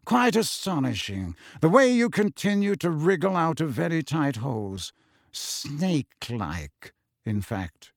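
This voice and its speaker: A cold, haughty middle-aged wizard, voiced by a male narrator. He drawls with icy politeness, his pitch swinging wide before each phrase sinks into a quiet sneer. The storybook reading is dry and close. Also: sing-song, dry recording, full character voice. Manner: slow, cool drawl with soft trailing endings.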